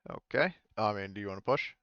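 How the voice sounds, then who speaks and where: A man running a tabletop game as game master, narrating casually and haltingly as he searches for his words. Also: fairly low pitch, animated, fairly bright tone, no room sound, muffled recording.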